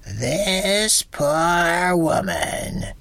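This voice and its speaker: A woman doing a slow, hushed, wise old abbess. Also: on the low side, animated, gravelly, no room sound, voice pushed up, heavily acted. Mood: solemn.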